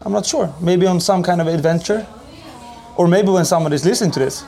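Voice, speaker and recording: A man's voice: high-pitched, fast, vocal fry, dark tone, faint music behind. A man from Sweden with a Swedish accent, speaking casually and emphatically as an interview guest, often repeating phrases. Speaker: podcast guest, ultra runner. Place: busy café.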